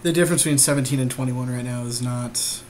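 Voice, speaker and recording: A man's voice: mid-range voice, fast, darkish tone. A man giving casual, jokey, slangy commentary on a game as a podcast co-host. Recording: noisy amateur recording.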